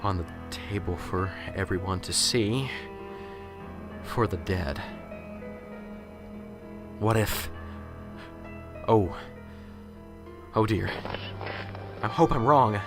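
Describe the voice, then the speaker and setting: A man's voice: lowish voice, very slow, pauses often, music in the background. A man playing a jittery, stammering professor. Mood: anxious. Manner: anxious, stammering, talks to himself. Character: nervous unsure male professor. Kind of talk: amateur radio drama.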